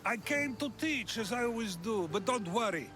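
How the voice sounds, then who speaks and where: An actor playing a calm older philosopher in a game cutscene, measured and wise. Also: male voice, high voice, a little hiss or hum.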